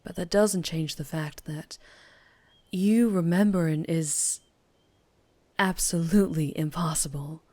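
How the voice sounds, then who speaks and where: A woman plays a mysterious vampire biker in an ASMR roleplay. Her voice sits on the low side and she takes her time with each phrase. She is teasing and menacing by turns. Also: slow pace, pauses often, warm tone. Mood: teasing, intrigued.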